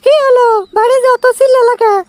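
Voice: extremely high pitch, flat delivery, fast, pitched above the performer's own voice. A performer voicing an impatient, nagging young wife with a Bogura Bangladeshi accent in a comic cartoon, speaking curtly.

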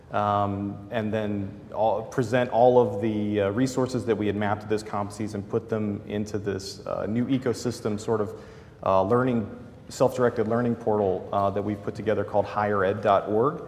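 A man in his thirties with a low, boomy voice talks through his project on a conference panel, filling pauses with "um". His pitch stays flat and even. The sound carries a long hall reverb. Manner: gestures broadly while explaining, many fillers. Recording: hall reverb, faint room noise.

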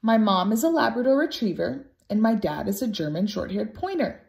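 A woman's voice: a little high, very animated, brisk, creaky, darkish tone. A woman voicing an earnest, sensitive young puppy in a children's storybook, innocent and plaintive. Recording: roomy home recording.

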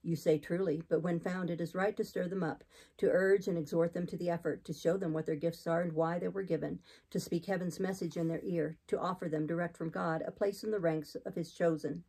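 A woman voicing a zealous, austere male missionary in an audiobook, solemn, deep and unyielding as he exhorts. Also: steady tone, brisk, warm tone, husky, poor audio. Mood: exhorting. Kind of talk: audiobook character reading.

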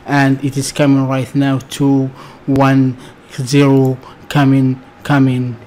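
A man in his thirties from Algeria with an Algerian accent, hosting a casual reaction video in halting, searching English with many restarts, close to a desk mic in a small room. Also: mid-range voice, monotone, warm tone, slight background noise. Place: small dark room indoors. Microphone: desk mic close to face.

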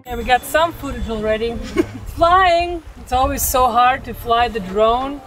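A woman's voice: on the high side, a little slow, a bit bright, soft background music, poor audio. A young woman co-hosting a sailing vlog, chatting casually and often laughing while out on a catamaran at sea. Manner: giggly, chatty.

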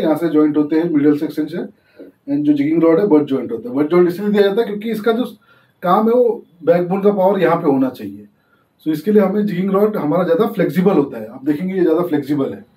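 A man's voice: on the high side, dark tone. A young man hosting a fishing channel, giving a casual tutorial and explaining as he demonstrates, often slipping English terms into his talk. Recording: echoey, distant from the mic.

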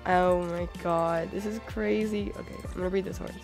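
Excitable young woman with a cold. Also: lowish voice, moderate pace, faint music behind. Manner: excitable, many "oh my god"s and "like"s. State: hoarse from a cold. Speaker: gaming channel host. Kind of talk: casual gameplay commentary.

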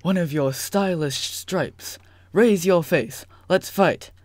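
A man's voice: high voice, slow, a little mellow, dry recording, clean studio sound. A man playing a cocky, quarrelsome young sock puppet with a sarcastic, theatrical manner. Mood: taunting.

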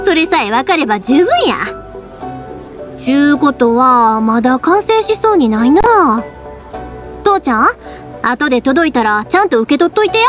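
Young fireworks-shop girl, Kansai accent, high bright voice, bouncy pitch, chatty, game music behind. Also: moderate pace, long pauses. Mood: cheerful. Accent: Kansai Japanese. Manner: lively, chatty, warm teasing. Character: cheerful young woman who runs a fireworks shop, lively. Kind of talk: game voice acting.

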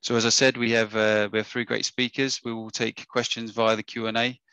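A man with a husky, gravelly voice welcomes people to an online talk, pausing often with fillers and restarting his sentences. His tone is bright and fairly flat in pitch, and the sound is dry and close, as if on a call. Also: no echo. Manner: hesitant, many fillers and restarts. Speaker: webinar host. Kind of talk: casual webinar welcome. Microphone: webcam or headset on a video call.

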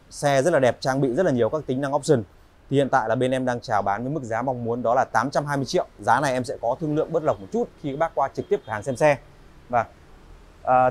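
Chatty Vietnamese car salesman in showroom. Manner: chatty, polite, pitchy, many filler pauses. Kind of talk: walk-around sales presentation. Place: large indoor car showroom.

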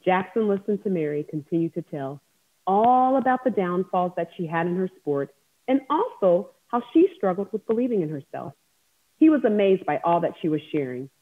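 A woman in her thirties hosting a children's story time over a video call, with an encouraging, teacherly way of talking. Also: fairly low pitch. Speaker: author and event host. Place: room at home, indoors. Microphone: video call, wireless earbud. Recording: dull video-call audio.

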